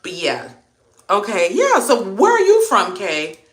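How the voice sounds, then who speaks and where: A woman's voice: mid-range voice, measured pace, echoey room. A young woman from Miami with a Haitian American accent, chatting casually on a livestream and answering viewer comments. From Miami, Florida. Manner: chatty, lively, slangy. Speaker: livestream host.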